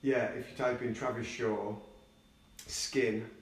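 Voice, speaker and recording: A man's voice: mid-range voice, flat delivery, slow, vocal fry, roomy sound, recorded from a distance, rough recording. A man chatting casually and laid-back, nasal and congested with a cold, swearing now and then. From England. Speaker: singer-songwriter guest. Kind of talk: casual chat.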